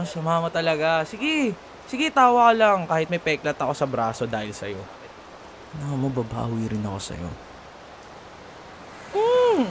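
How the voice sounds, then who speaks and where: A man acting a young Filipino college guy with a fairly high voice that swings freely up and down. He plays the sarcastic school rival in an ASMR roleplay, taunting and bantering casually over a light wash of rain. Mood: smug, grumbling, then teasing. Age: young adult. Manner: taunting, playful, colloquial banter. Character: teasing, sarcastic male college student, bickering school rival. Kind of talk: ASMR boyfriend roleplay, one-sided dialogue acting. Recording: steady rain noise behind voice. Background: falling rain.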